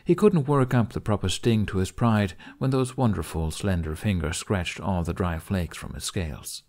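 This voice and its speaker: A man's voice: low-pitched, moderate pace, a little mellow. A man reading a story aloud as an audiobook narrator in a scripted storytelling style.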